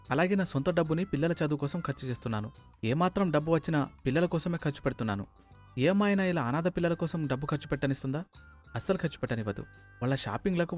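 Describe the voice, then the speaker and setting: A man voicing a principled, reluctant young bachelor in an animated moral story. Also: fairly high pitch, natural voice.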